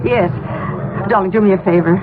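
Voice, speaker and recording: An actress playing a frazzled, long-suffering middle-aged American suburban wife in a 1970s radio drama. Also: female voice, mid-range voice, a little fast, natural voice. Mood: harried. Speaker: radio actor. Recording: noisy, tinny old radio broadcast.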